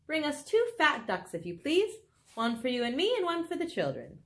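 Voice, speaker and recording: A woman's voice: sits high, huge pitch swings, moderate pace, voice pushed up, heavily acted. A woman voicing a careful, caring mother fox in a storybook, polite but firm. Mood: polite request.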